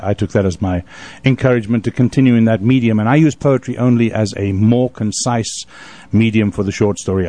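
A man's voice: fairly low pitch, no echo. An older man who hosts a radio show and performs poetry, talking and reciting in a literary storyteller's style. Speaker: radio host and poet. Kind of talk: casual introduction and dramatic poetry recital. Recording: steady hum and background noise.

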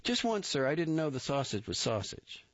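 A man doing a high, wheedling young army private. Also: very animated, moderate pace, no echo. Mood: pleading. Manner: pleading, eager, overly polite. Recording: dull dry recording.

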